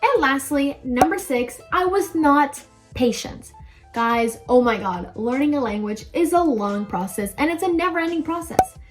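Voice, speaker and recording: High-voiced chatty young woman. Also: expressive, normal speed, soft background music. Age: young adult. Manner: chatty, emphatic, informal. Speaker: language-learning video host. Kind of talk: casual advice to viewers.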